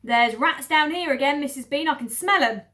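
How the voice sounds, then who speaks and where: A woman voicing a big, loud middle-aged housemaid who talks eagerly and gossips. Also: high-pitched, animated, moderate pace, pitched above the performer's own voice.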